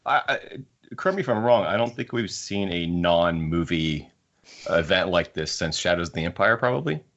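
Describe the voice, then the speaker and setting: A man's voice: low voice, animated, normal speed, a bit bright, highs cut off. A man co-hosting a podcast in a casual, chatty style. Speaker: podcast co-host. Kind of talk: casual chat.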